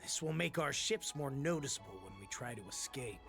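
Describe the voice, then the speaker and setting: A man playing a curt, suspicious fox-man pilot in a video game, gruff and gravelly. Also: mid-range voice, animated, a little fast, pushed and bright, faint music behind. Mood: guarded.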